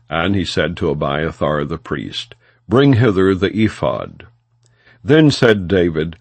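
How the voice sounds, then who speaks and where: A man reading scripture as an audiobook narrator, in a solemn, measured and formal delivery without dramatization. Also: deep voice, no echo. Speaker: audiobook Bible reader.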